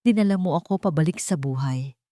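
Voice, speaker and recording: A woman's voice: deep voice, a little fast, dark tone, no room sound, studio quality, natural voice. A woman narrator voicing an anxious, tender mother full of feeling in a dramatic story. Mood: grateful. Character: anxious, tender young mother, hopeful and emotional.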